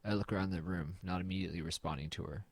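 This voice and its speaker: A man playing a weary, haunted man with a low voice, recorded very dry. He delivers first-person horror drama narration and dialogue in a glum, understated way. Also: steady tone, rapid-fire, slightly rough, no echo. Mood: somber, resigned.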